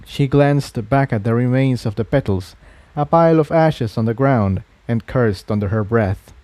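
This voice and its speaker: A man's voice: mid-range voice, moderate pace, warm tone, dry recording. A man reading a scripted adventure story aloud as its narrator. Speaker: audiobook narrator.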